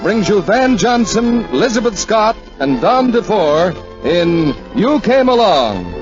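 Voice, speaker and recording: A male announcer in vintage 1940s style. His high voice is declamatory and very lively. The broadcast is muffled, with music swelling behind him. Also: slow pace. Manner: emphatic, declamatory. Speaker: radio announcer. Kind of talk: grand 1940s radio announcing.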